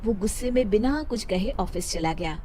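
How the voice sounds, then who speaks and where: A woman's voice: medium pitch, animated, moderate pace, mellow, a little hiss or hum. A woman storyteller reading a scripted moral story as narrator.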